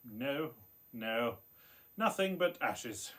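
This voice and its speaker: An actor playing an intense, brooding young gentleman scientist in a radio play, with a theatrical, hesitant delivery. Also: medium pitch, animated, extremely slow. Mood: weary, subdued.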